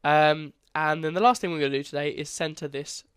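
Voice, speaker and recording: A man's voice: on the high side, fairly even intonation, fairly quick, fairly bright tone, smooth, no room sound, studio quality. A young man, congested, casually talking through a coding tutorial and correcting himself as he goes. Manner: casual, chatty, self-correcting. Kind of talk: screen-recorded coding tutorial.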